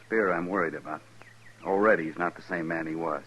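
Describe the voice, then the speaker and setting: Low, husky-voiced cavalry lieutenant. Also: male voice, brisk, dull audio. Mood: concerned. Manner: guarded, polite. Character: loyal, worried cavalry lieutenant, discreet junior officer. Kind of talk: 1950s Western radio drama acting.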